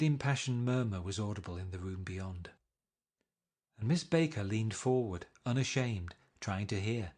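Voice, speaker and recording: A man reading a classic novel aloud, narrating in a measured, gently expressive way. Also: mid-range voice. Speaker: reader.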